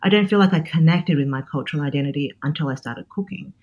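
A woman's voice: deep voice, fast talker, darkish tone, lo-fi sound. A woman in her forties with an Australian accent, a chef talking as an interview guest, thoughtful and full of restarts. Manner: thoughtful, many fillers and restarts. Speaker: guest, chef and cookbook author. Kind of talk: reflective interview conversation.

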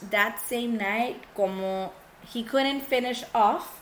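Woman podcast co-host, hoarse from illness, bilingual casual storytelling, faint noise. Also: on the high side, slow. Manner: switches between English and Spanish mid-sentence. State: sick, coarse voice. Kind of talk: casual true-crime chat.

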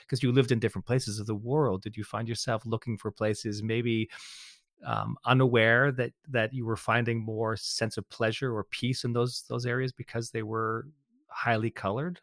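A man's voice: medium pitch, a bit bright, dry recording, studio quality. A man hosting an interview, curious and rephrasing the guest's points to check understanding. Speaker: interviewer host. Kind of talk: conversational interview.

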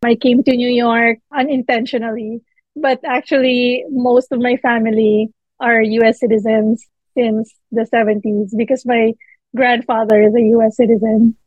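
A woman's voice: on the high side, level tone, moderate pace, no echo. A woman from the Philippines, a book editor, talking thoughtfully as a guest in an interview. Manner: thoughtful, with fillers. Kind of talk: interview conversation. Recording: dull dry lo-fi sound.

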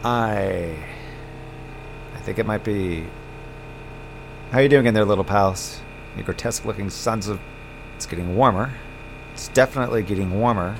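A man's voice: on the low side, moderate pace, pauses often, natural voice, low-quality recording. A man playing a weary, bookish moonbase crewman who mutters wryly to himself in an audio drama log. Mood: tense, focused. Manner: muttering to himself, wry and brooding. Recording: background noise under the voice.

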